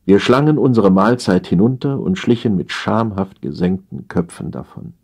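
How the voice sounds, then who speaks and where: Deep-voiced man narrating with animated pitch. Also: normal speed, breathy edge. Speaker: audiobook narrator. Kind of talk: scripted humorous story narration.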